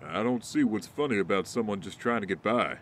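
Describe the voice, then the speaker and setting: Over noisy ambience comes a low-pitched ex-Marine , acted by a man. He is principled and guarded, quick to moral indignation, in the manner of a superhero audio drama. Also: animated, lightly acted. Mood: kind, earnest.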